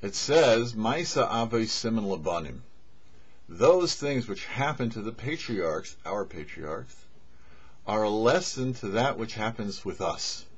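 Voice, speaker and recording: A middle-aged man delivers a short rabbinic teaching in measured phrases sprinkled with Hebrew. His voice is husky and rises and falls in a lively way at a medium pitch. The recording is dull and lo-fi. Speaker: rabbi, host of a weekly Torah show. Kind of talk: short religious teaching to camera. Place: indoors before a cloth backdrop. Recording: dull, muffled lo-fi audio.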